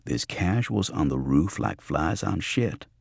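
A man's voice: low voice, animated, measured pace, gravelly, breathy edge, no echo, studio quality, heavily acted. A man voicing a grim, streetwise fighter in an audiobook thriller, matter-of-fact and wry.

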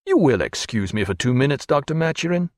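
A man voicing a genial, self-assured wealthy gentleman in an audiobook, urbane, expansive and courteous. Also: medium pitch, sing-song, a little fast, no echo, clean studio sound, close to an everyday voice. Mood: genial, brisk. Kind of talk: audiobook character voice.

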